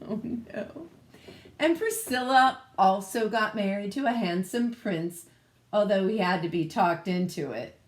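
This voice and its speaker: A woman reading a children's story aloud expressively, adding casual asides as she goes. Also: mid-range voice. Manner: expressive, pausing to comment on the pictures. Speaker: picture-book reader.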